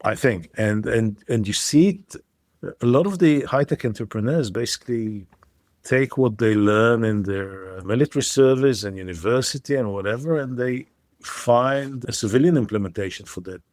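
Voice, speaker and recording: A man's voice: mid-range voice, normal speed, a little mellow, no room sound, studio quality. A man in his fifties from Israel, a founder being interviewed on a podcast, who talks with many fillers and restarts. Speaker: guest, startup founder.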